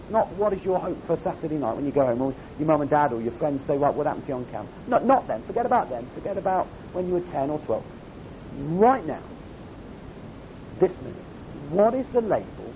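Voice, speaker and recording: A man with a croaky voice, giving a conversational sermon to young people at a camp. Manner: conversational, emphatic repetition. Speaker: camp speaker. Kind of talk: youth sermon. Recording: dull lo-fi recording with faint rumble.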